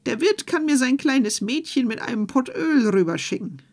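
A woman's voice: high voice, expressive, moderate pace, darkish tone, pitched above the performer's own voice. A woman voicing an anxious farmer's wife in a fairy tale, with a startled, urgent tone.

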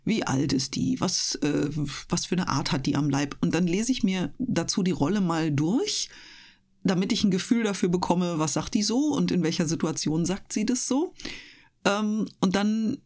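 A woman's voice: on the low side, moderate pace, a little mellow. A woman chatting casually as an interview guest, explaining things thoughtfully in colloquial, youthful slang. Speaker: interview guest, voice actress and streamer. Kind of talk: casual radio interview chat.

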